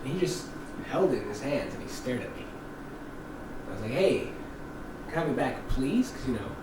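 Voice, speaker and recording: A young man telling stories in casual chat, animated and laughing often. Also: mid-range voice, normal speed. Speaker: podcast co-host. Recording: echoey room, distant mic, background noise.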